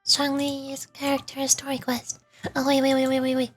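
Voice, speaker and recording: A woman's voice: sits high, completely flat delivery, normal speed, faint music behind. A woman streaming a video game, giving casual commentary and thinking aloud in short bursts.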